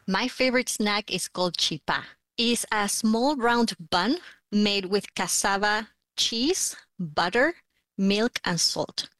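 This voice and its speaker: Woman from argentina, interview guest, descriptive, gently humorous, dry sound. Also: medium pitch, takes it slowly, dry recording. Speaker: mother, listener contributor. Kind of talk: conversational interview answers.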